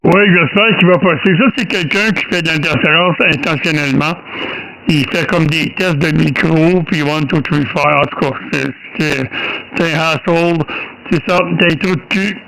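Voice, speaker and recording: A man's voice: fairly high pitch, moderate pace, creaky, a bit bright, poor audio. A man with a Québécois French accent chatting casually and bluntly as an amateur radio operator into a studio microphone. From Quebec, Canada.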